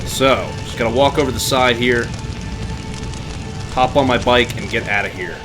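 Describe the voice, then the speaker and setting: A man's voice: mid-range voice, moderate pace, over loud music. A man giving casual, explanatory commentary on a game livestream.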